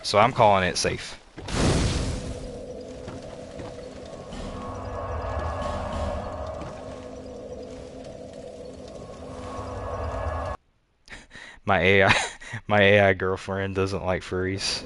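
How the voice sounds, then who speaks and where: A man's voice: deep voice, drawn-out words, long pauses. A man in his thirties casually commentating his gameplay, chatting with viewers and laughing often. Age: 30s. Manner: laid-back, chats with viewers and laughs often. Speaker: streamer. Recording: steady background noise.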